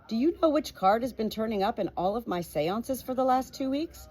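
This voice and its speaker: Woman as haughty storybook mother, contemptuous, dramatic, high-pitched, very animated. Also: normal speed. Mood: insistent, theatrical. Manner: contemptuous, dramatic, screeching when triumphant. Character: haughty, scornful, theatrical mother who fancies herself psychic.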